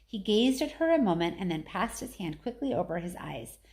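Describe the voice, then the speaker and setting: Female storybook narrator, mid-pitched, expressive read-aloud delivery of a children's novel. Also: brisk. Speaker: story reader.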